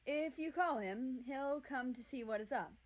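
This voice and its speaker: A woman voicing a friendly, considerate male healer in a fantasy storybook, explaining things gently. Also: sits high, normal speed, voice pushed up, close to an everyday voice, low-quality recording.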